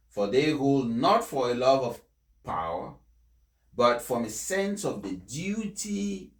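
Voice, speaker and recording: Echoey man reading aloud. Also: medium pitch. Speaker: presenter reading out a speech for followers. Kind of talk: formal read-aloud address with informal introduction. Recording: echoey, reverberant room.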